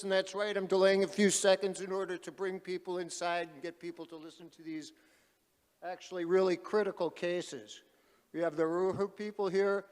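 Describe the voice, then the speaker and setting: A man moderating a panel at an activist conference, speaking loudly and calling out to the crowd like a rally speaker. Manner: loud, booming, calls out to the crowd. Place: large conference hall. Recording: reverberant hall.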